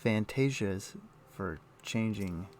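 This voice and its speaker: A man streaming a game, chatting casually and thinking aloud in a halting, muttering way as he plays. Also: fairly low pitch, a little mellow, slight background noise. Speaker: game livestreamer. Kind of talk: casual livestream chat with gameplay commentary.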